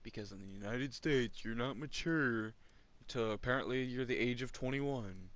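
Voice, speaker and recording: A man's voice: medium pitch, moderate pace, fairly bright tone. A man of twenty chatting casually while playing a video game, ranting and complaining with chuckles between remarks. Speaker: gamer. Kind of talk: casual rambling chat over gameplay.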